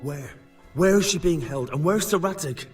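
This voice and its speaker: A man's voice: fairly high pitch, expressive, moderate pace, soft background music, close to an everyday voice. Blunt, stubborn young hero played by a man. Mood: urgent. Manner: blunt, determined. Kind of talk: dramatic video game cutscene acting.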